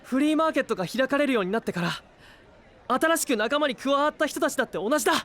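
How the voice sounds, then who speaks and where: Earnest, high-voiced young knight. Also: male voice, lively intonation, fast talker, fairly bright tone. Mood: earnest conviction. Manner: impassioned, sincere pleading. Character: earnest young male knight, trusting, hot-blooded idealist. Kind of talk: anime audio drama acting.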